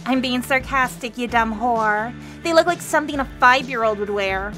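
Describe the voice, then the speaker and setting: Shrill, snide schoolgirl bully, played by a woman. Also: normal speed, sharp tone, soft background music. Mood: sarcastic, scornful. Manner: sneering, mocking.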